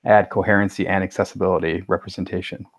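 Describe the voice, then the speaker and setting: Man, deep voice, casual panelist, blunt opinions, tentative starts, dry close recording. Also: level tone, fast talker, no echo.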